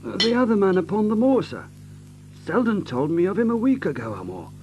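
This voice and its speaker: An actor playing a fearful, deferential manservant in an audio drama, speaking with uneasy, respectful earnestness. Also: male voice, sits high, big pitch swings, a little fast, music in the background. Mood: fearful, foreboding.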